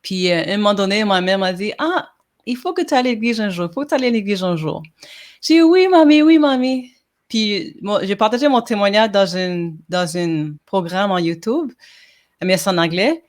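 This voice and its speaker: A woman's voice: mid-range voice, lively intonation, moderate pace. A woman from Montreal whose first language is English, a podcast guest talking in a casual interview, hesitant with many fillers and restarts. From Montreal, Quebec.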